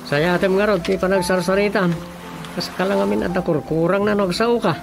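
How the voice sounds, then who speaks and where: A man's voice: high voice, steady tone, fairly quick, gravelly. An actor playing a chatty, teasing village man with an Ilocano accent in a radio comedy-drama. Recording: prominent music under the dialogue.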